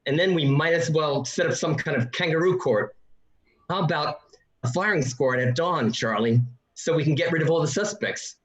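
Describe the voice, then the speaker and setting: A man's voice: on the high side, normal speed, fairly bright tone, lightly acted. Man acting an exasperated neighbor, echoey room. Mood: sarcastic. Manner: sarcastic, indignant. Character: exasperated, sarcastic male voice of reason among neighbors. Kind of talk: radio play acting. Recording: roomy, echoey home recording.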